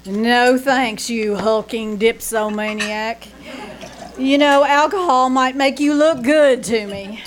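A woman playing a zany, sharp-tongued, self-dramatizing Hollywood actress in old-time radio comedy, performed live on stage. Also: a little high, takes it slowly. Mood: haughty, mocking. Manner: melodramatic, mock-grand, insulting.

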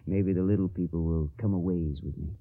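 Deep-voiced, confident young volunteer soldier. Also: male voice, moderate pace, breathy edge. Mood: fond, teasing. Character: young Confederate volunteer, confident, affectionate, bound for war. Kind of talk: radio drama acting. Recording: dull, muffled radio recording.

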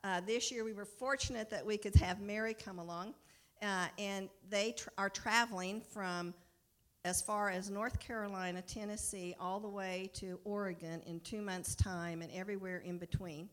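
A woman's voice: medium pitch, steady tone, moderate pace. A middle-aged or older American woman giving an informal, enthusiastic personal talk to a congregation as a mission worker. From United States. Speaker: mission partner for a hospital, guest speaker.

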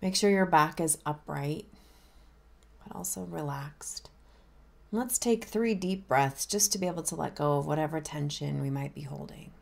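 Lady minister leading a meditation, deep voice w/ some fry, soft and unhurried. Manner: gentle, unhurried, inviting. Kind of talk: guided meditation.

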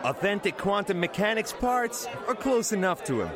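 A man's voice: sits high, sing-song, moderate pace, crowd noise. An actor playing a slick street hustler with a sly salesman's patter. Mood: sly, pushy. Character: slick male street hustler selling parts.

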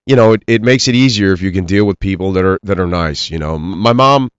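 A man's voice: sits low, fast talker, clear, resonant tone, no room sound, dull audio. A middle-aged man from Iran, raised in Pennsylvania, talking earnestly in an interview with many restarts. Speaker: actor guest. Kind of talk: interview conversation.

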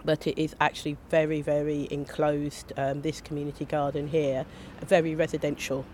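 A woman recovering from flu, her voice lower than usual, chatting casually as a guest in an outdoor community garden, sharing one small microphone. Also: little pitch movement, moderate pace, darkish tone, slight background noise. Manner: chatty, rambling, with little laughs. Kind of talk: casual chat. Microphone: sharing one small microphone with the host.

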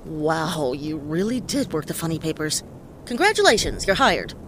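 An actress playing a tough, wisecracking female private detective in a noir audio play, speaking in a deadpan, sardonic way. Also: sits low, normal speed, a little hiss or hum, poor audio. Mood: wry, bossy. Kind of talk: hard-boiled noir radio play acting. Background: prominent music.

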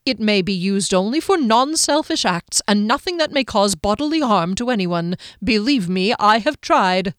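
A woman's voice: mid-range voice, expressive, normal speed, hardly any pauses, no echo, studio quality, lightly acted. A woman voicing a smug male halfling trickster who speaks in flowery, archaic courtesies for a fantasy storybook. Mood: smug, theatrical. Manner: pompous, archaic, elaborately polite phrasing.

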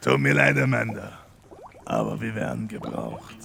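A man playing a gruff, sarcastic police detective with a gravelly, husky voice in a German crime audio drama. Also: fairly low pitch, wildly swooping pitch, normal speed, sharp tone, a little hiss or hum, exaggerated voice. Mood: brisk, probing.

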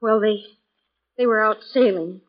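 Woman acting as anxious caring relative, medium pitch, hesitant, earnest, dull vintage radio drama sound. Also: unhurried, lightly acted. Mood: gracious, subdued. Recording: dull lo-fi 1940s radio recording.

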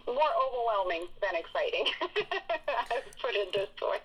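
A woman from Russia with a Russian accent, a guest telling animated stories with laughs in a casual interview. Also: mid-range voice, moderate pace, husky, rough recording. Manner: animated storytelling with frequent laughs.

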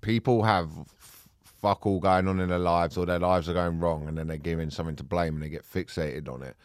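A man's voice: low-pitched, fairly even intonation, a little fast, clear, resonant tone, no echo, clean studio sound. A man from Kent, co-hosting a podcast in sweary, jokey casual chat. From Kent, England. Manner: jokey, sweary banter with frequent laughter. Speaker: podcast co-host.

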